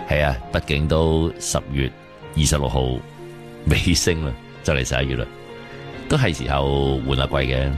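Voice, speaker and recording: A man with a Hong Kong Cantonese accent presenting late-night radio continuity and weather. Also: rumbling low. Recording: studio voice over music. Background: music.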